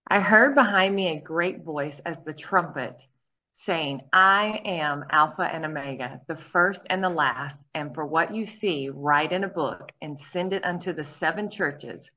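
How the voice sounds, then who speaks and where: A loud-voiced woman taking part in a Bible study and reading scripture aloud. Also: deep voice, a little slow, low-quality recording.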